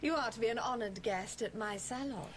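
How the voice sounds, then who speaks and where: A woman's voice: a little high, fairly quick, natural voice. An actress playing a gushing, domineering society mother in a radio play, grand and condescending. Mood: gushing, imperious. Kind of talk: radio drama acting. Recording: radio play under engine noise.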